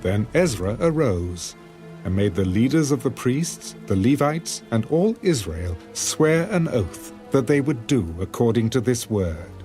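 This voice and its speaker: A man's voice: medium pitch, big pitch swings, a little slow, dark tone. A man narrating a dramatised scripture audiobook as a scripted reading. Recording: music behind the voice.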